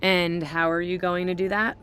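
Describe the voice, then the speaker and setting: An actress playing a skeptical, dismissive wrestling show manager in a video game, matter-of-fact and wry. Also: female voice, lowish voice, flat delivery, moderate pace, a bit bright, clear, resonant tone, close to an everyday voice. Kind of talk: scripted game dialogue.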